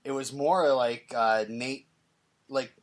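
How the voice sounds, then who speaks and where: A man's voice: medium pitch, slow. Male podcast host, mid-range voice, casual recap style, slightly hesitant and conversational. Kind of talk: casual TV episode recap discussion.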